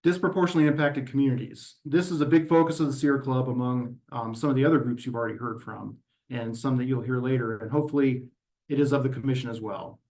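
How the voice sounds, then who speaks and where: A man delivers formal, prepared testimony as an advocate at a hearing. His mid-pitched voice moves methodically from point to point in a measured, orderly manner. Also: steady tone, a little mellow, low-quality recording. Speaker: environmental advocacy representative testifying at a regulatory hearing. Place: virtual meeting.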